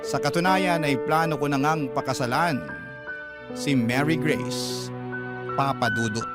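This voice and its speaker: Guy playing a meek filipino letter sender, slightly high voice, confiding narration, loud music under it. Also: unhurried, lightly acted. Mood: reflective, sorrowful. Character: meek letter sender confessing his painful love story. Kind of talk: scripted first-person radio drama narration.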